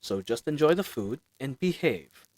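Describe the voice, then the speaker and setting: A man's voice: medium pitch, expressive, moderate pace, a little mellow, dry recording, natural voice. A man playing a friendly, roguish bandit leader in a fantasy audio roleplay, talking in a casual, teasing, chatty way. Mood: firm, commanding. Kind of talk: fantasy audio roleplay acting, speaking intimately to listener.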